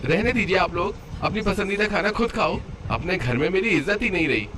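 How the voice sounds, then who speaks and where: A man's voice: sits high, animated, brisk, fairly bright tone. A man acts out a short-tempered, entitled son-in-law from a cartoon story. He complains and sneers with whining sarcasm. Noticeable noise sits behind the voice. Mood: angry. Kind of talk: Hindi cartoon story dialogue. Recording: clear background noise under voice.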